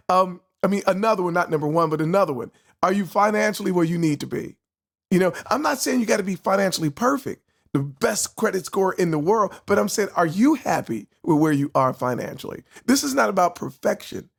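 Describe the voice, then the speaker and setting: A man in his sixties hosting a motivational vlog, talking in an animated, preachy way, repeating his questions and laughing often. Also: high-pitched, creaky. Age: 60s. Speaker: host.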